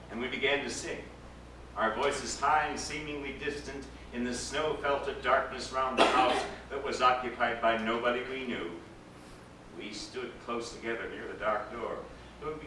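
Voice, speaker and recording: A man giving a dramatic live reading of a story, expressive and theatrical, lingering over his words. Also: mid-range voice. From United States. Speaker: actor reading a story aloud. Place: event at a ranch, roomy space. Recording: echoey distant live recording with background noise.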